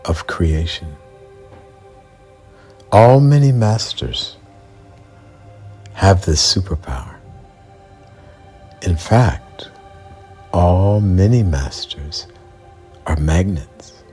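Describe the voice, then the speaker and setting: A man narrating a bedtime story for children as a guide, in a measured, deliberate delivery with pauses mid-sentence. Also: sits low, very animated, darkish tone. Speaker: bedtime storyteller and mindset coach. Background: background music.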